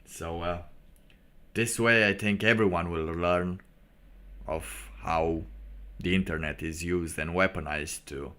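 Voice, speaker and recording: A man hosting a casual livestream monologue in a halting, rambling manner with preacher-like asides. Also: sits low, fairly even intonation, a bit bright, clean tone. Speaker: livestream host.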